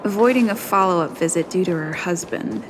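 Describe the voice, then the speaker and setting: A woman's voice: lowish voice, a little fast, noisy background, lightly acted. A woman voicing a practical young adventurer thinking aloud in a video game, with music underneath. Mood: pondering.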